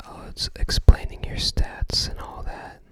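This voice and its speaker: An ASMR host whispering softly and breathily close to the microphone, musing casually and tentatively. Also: unhurried. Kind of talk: ASMR, casual commentary while browsing a game guide book.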